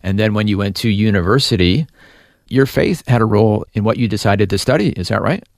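A man hosting a faith radio interview, asking questions in a gentle, encouraging way. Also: lowish voice, animated, brisk, creaky, no room sound, studio quality. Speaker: radio show host. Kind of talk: hosted interview.

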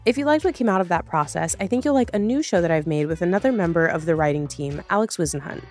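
A woman with a slightly low voice reads a scripted host introduction for a fiction podcast. Soft music plays underneath her as she presents the show. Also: expressive, fast talker. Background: music bed.

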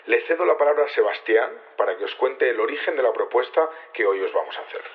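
An actor playing a calm, resolute leader of a secret plot in an audio drama, speaking formal, measured Castilian Spanish. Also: male voice, medium pitch, natural voice. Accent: Castilian Spanish from Spain. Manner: measured, formal, addressing a gathering. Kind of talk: Spanish audio fiction acting. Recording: muffled, band-limited radio-like recording.

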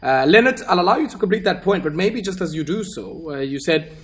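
A man hosting a radio talk show and pressing a guest with questions, steady with frequent fillers. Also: high voice, fairly quick, slight background noise. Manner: probing, steady interviewer with fillers.

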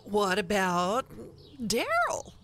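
A woman playing an opinionated, folksy older wife who briskly meddles, in a radio play. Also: mid-range voice, huge pitch swings, fairly bright tone, a little hiss or hum, exaggerated voice. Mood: prying.

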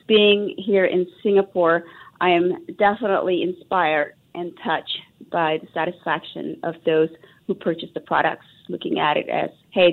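A woman raised in Texas, answering a radio interviewer in an earnest, reflective way with many fillers and restarts. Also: fairly low pitch. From Indonesia, raised in Texas. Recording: tinny phone line.

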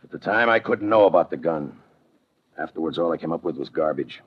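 An actor playing a hard-boiled, sardonic police lieutenant in a 1950s radio crime drama, with a clipped, tough delivery, on a muffled old recording. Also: male voice, rumbling low, animated, a little fast, breathy edge, exaggerated voice. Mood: accusing.

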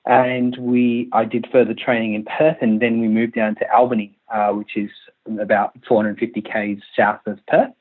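A man in his thirties with an Australian accent, a country doctor answering interview questions in a hesitant, halting way with many fillers. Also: fairly low pitch, level tone. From Malaysia, raised in Western Australia. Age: 30s. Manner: hesitant, many restarts and fillers, laughs easily. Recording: tinny phone line.

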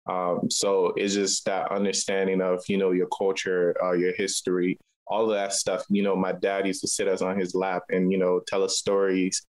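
A man's voice: deep voice, rough recording. A man from Liberia, a local artist, answering interview questions in a conversational way. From Liberia, West Africa.

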